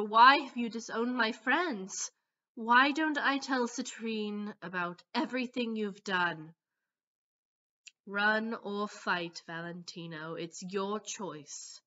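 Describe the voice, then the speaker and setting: A concerned, loyal she-cat played by a woman from an animated drama, with a British accent. Her voice is bright and forceful, and she speaks slowly, with earnest, dramatic weight. The recording is a slightly dull, lo-fi home take. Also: mid-range voice. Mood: indignant, then grave. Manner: earnest, deliberate, dramatic delivery. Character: concerned, loyal female cat standing up for friends. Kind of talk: amateur character voice audition.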